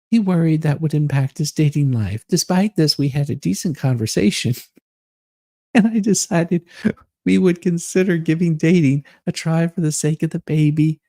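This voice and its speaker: A man voicing an aggrieved, self-righteous woman in a theatrical dramatic reading, with a husky voice and deliberate, indignant manner. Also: a little high, mellow, dry recording, clean studio sound, natural voice.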